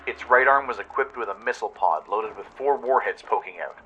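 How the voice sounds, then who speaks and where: A man's voice: lowish voice, a little fast, highs cut off, slight background noise. A man narrating a scripted adventure story dramatically, as in a radio play. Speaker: narrator and radio show host.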